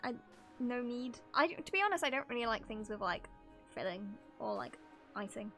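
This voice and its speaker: A woman's voice: fairly high pitch, lively intonation, moderate pace, a bit bright. A woman streaming a game, chatting casually in a giggly, rambling way. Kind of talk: casual live chat commentary. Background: faint game music.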